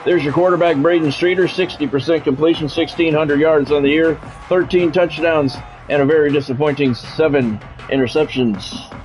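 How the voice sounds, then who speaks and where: A man's voice: fairly high pitch, fairly even intonation, moderate pace, a little mellow, soft background music, low-quality recording. A man streaming a sports video game, mixing casual chat to viewers with play-by-play commentary and repeating himself as he goes.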